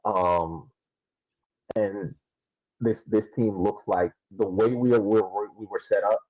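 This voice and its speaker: Deep, mellow man talking soccer. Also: fairly even intonation, slow, dry recording, highs cut off. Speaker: podcast co-host. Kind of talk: casual soccer analysis talk.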